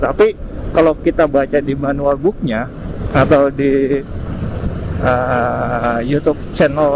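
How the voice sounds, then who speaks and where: Dull intercom recording, clear vehicle noise, man, medium pitch, casual vlog review, hesitant phrasing. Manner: hesitant, searching for words, frequent tag words. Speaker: vlogger reviewing gear. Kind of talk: casual unscripted review chat. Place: outdoors on the road at night. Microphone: helmet intercom microphone. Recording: muffled intercom mic with road noise. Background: vehicle and street noise.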